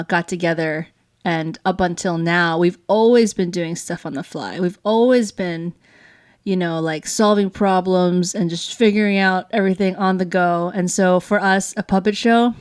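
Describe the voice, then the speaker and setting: A woman's voice: lowish voice, a little slow. A woman co-hosting a family vlog in a chatty, upbeat and enthusiastic way. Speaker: show host.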